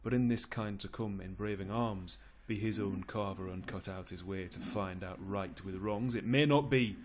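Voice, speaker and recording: A man's voice: lowish voice, moderate pace, background noise, close to an everyday voice. Man acting a stern, weary old duke. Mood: stern rebuke. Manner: declamatory verse, stern then resigned. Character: weary, principled old duke, rebuking yet powerless uncle.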